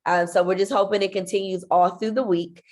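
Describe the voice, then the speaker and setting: A woman's voice: medium pitch, fairly even intonation, a little fast, darkish tone. A woman with blocked sinuses, her voice stuffy and nasal. She leads an online meeting for parents, friendly and full of ums as she goes. She speaks into a computer mic on a video call. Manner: friendly, filler-heavy with frequent ums. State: congested, nasal. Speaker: school principal.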